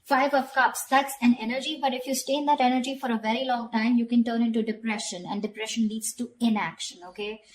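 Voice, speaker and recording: A woman's voice: a little high, fairly even intonation, fast, hardly any pauses, a little hiss or hum, low-quality recording. A woman giving a conversational tarot reading straight to the viewer, often repeating phrases and checking in with short tag questions. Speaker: tarot reader.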